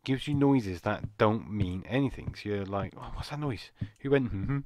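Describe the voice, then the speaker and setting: A man with a slightly croaky voice, rambling and jumpy as he comments on a horror game. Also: on the low side, normal speed, darkish tone, a little hiss or hum. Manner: rambling, jumpy, muttering to himself. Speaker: gaming channel host. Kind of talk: casual horror gameplay commentary.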